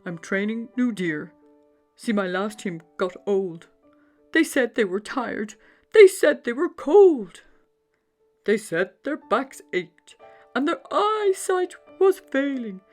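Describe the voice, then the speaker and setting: A woman voicing an elderly Santa in a children's storybook, fretting and exclaiming theatrically with drawn-out words. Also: fairly high pitch, sing-song, darkish tone, soft background music. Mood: upset, complaining. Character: upset, worried old Santa, elderly man fretting over reindeer.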